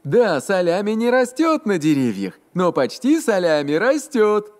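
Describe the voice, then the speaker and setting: A man voicing an excitable, enthusiastic little mustached cook in a children's cartoon, with childlike, exclamatory delivery. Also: sits high, measured pace, heavily acted. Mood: proud, excited.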